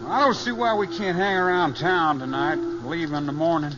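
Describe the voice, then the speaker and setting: An actor in a 1950s radio western playing a sullen, challenging young American gunslinger. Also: male voice, a little high, moderate pace, noisy background, lightly acted. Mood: sullen, touchy. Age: young adult. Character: touchy, cocky young gunslinger spoiling for trouble. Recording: muffled old radio recording.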